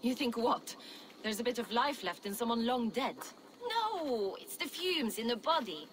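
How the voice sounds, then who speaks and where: A woman's voice: a little high, animated, a little slow. A woman playing a brash, bawdy Viking ex-smuggler in a video game, with a jaunty, teasing, wry way of talking. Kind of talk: video game character acting. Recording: clear background noise and outdoor ambience.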